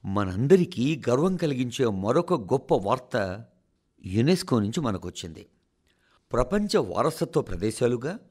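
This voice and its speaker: A man reading a scripted, formal address for radio in a solemn, oratorical manner, with measured phrases and dramatic pauses. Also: mid-range voice. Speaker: radio reader of a translated national address.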